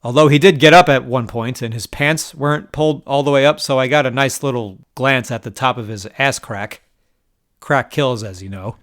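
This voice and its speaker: A man's voice: mid-range voice, moderate pace, fairly bright tone. A man from New York telling poker table stories in a wry, exasperated way, with short laughs. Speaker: poker vlogger. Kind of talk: casual storytelling monologue.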